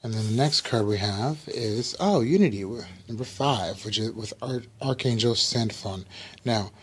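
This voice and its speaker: Man, slightly raspy, somewhat low voice, casual tarot reading, rambling. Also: moderate pace, slight background noise. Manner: loose, rambling explanation with fillers and restarts. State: slightly raspy, under the weather. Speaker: tarot reader.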